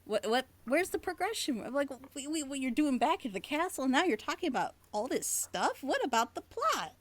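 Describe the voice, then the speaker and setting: A woman's voice: sits high, big pitch swings, a little fast. A woman giving casual critique in a group chat, speaking emphatically and bluntly and acting out imagined reactions. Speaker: reviewer giving feedback on an audio drama.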